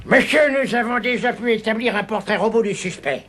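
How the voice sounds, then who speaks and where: Un homme jouant un policier zélé, voix très aiguë. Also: male voice, high-pitched, fairly quick, lightly acted. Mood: sheepish. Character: eager bumbling police detective, servile to his boss, bossy. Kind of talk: French cartoon dubbing. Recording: clear noise behind the voice.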